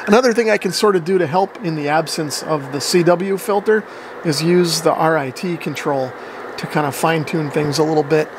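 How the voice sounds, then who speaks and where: A man's voice: a little high, brisk, background noise. A man in his forties giving a casual, informal hands-on explanation as a hobbyist video host. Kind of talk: casual hands-on radio demonstration. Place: home basement radio workshop.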